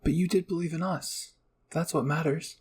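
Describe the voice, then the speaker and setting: A man's voice: on the high side, fairly even intonation, normal speed, darkish tone, a little hiss or hum, close to an everyday voice. A man voicing an earnest, easily flustered young woman soldier in an amateur anime roleplay.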